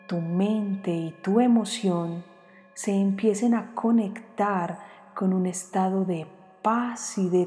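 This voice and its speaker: Female meditation guide, soft dark tone, calm short phrases with long pauses, music underneath. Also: mid-range voice. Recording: long reverb, music behind.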